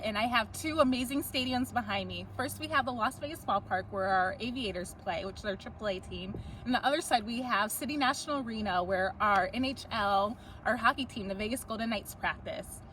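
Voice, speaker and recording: A woman's voice: fairly high pitch, brisk, few pauses, a bit bright, slight background noise, rough recording. A woman speaking outdoors, giving an upbeat scripted video update to members of her organization. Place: outdoors near stadiums.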